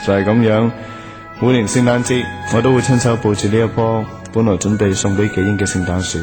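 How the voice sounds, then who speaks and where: Guy acting as a lovesick young student in a radio play, low-ish earnest voice, music behind. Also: steady tone, fairly quick, close to an everyday voice. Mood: wistful, sad. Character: lovelorn, hopeful young male student waiting for his girlfriend. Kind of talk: Cantonese radio drama acting and first-person narration.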